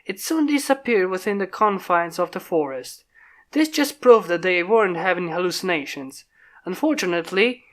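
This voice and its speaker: Woman narrating a fan story. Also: fairly low pitch. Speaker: fan fiction reader.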